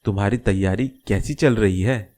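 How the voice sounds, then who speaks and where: A man voicing a caring middle-aged father in a moral-story cartoon, gentle and explanatory as he reassures. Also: fairly low pitch, moderate pace, close to an everyday voice. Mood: reassuring.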